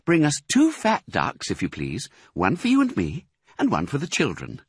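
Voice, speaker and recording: A man's voice: mid-range voice, wildly swooping pitch, normal speed, breathy edge, voice pushed up. A man voicing a caring, anxious mother fox in a storybook reading. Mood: sweet. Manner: fretful, warning.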